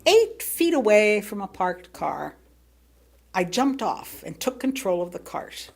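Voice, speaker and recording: A woman with an American accent delivers a written sermon as a personal story. Her pace is unhurried and her mid-pitched voice has a creaky edge. She stresses words theatrically and leaves expressive pauses.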